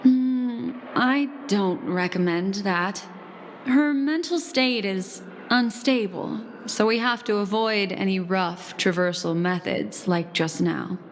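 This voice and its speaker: A woman voicing a composed, courteous and mysterious guardian in a video game, speaking formally and with gentle deliberation. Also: medium pitch, takes it slowly, slight background noise. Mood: calm, advising. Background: background music.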